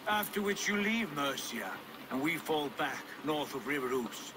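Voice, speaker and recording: An actor playing a proud, sly Viking leader in a game cutscene, taunting yet grandly courteous. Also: male voice, fairly high pitch, expressive, a little slow, noisy background. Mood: taunting, bargaining. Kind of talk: video game cutscene acting.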